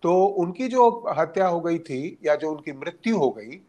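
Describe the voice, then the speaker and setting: A man with a slightly high-pitched voice delivers political commentary at a slow pace. He speaks off the cuff like a journalist analysing events, often stopping mid-sentence to search for the next phrase. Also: lively intonation, mellow. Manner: halting, with long hesitant pauses mid-sentence.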